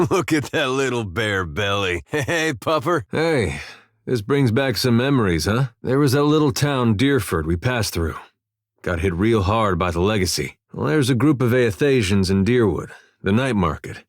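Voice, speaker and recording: An actor voicing an easygoing, wry and weary farmer turned soldier in a video game, with a folksy, plain-spoken drawl. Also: male voice, mid-range voice, no echo, clean studio sound. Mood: playful, doting, then wistful. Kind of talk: video game companion dialogue.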